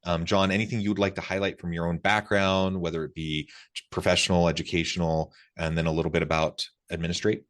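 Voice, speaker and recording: A man's voice: low voice, flat delivery, fast talker, fairly bright tone. A man hosting a podcast interview in a conversational way, wordy and often doubling back on his words. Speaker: podcast host.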